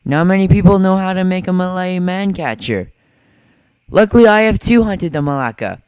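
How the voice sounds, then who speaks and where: A man's voice: sits high, normal speed, a little mellow, smooth, no room sound, lightly acted. A man playing an urbane, smug aristocratic general in an amateur drama, speaking in a formal, genteel manner. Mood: loud taunting. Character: urbane aristocratic male general who hunts people for sport. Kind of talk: amateur short story dramatization.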